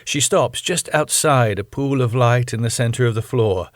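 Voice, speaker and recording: A man narrates a sitcom script in a mid-range voice. His phrasing is measured, deliberate and a little dramatic. The sound is dry, with almost no room. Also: medium pitch, dry recording.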